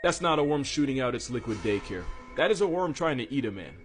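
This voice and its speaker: A man narrates comedic animal facts at a brisk pace over a clear music bed. His intonation is lively and his manner wry, slangy and irreverent. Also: medium pitch. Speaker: narrator of animal fact videos.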